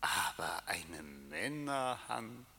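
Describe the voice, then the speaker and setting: A man's voice: low-pitched, sing-song, very slow, creaky, bright, forceful tone, a little hiss or hum, heavily acted. A man acting a menacing, seductive tempter in a theatrical radio drama, taunting with insistent rhetorical questions. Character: menacing, seductive male tempter who taunts his victims. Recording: long reverberant hall sound.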